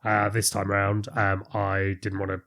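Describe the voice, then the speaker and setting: A chatty man with an Irish accent, talking as a podcast guest. His voice sits fairly low, and he tells his stories easily, peppering them with quick "yeah, yeah"s. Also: monotone, fairly bright tone. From born in Fulham, London. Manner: chatty storyteller, lots of "yeah, yeah". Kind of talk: casual podcast chat.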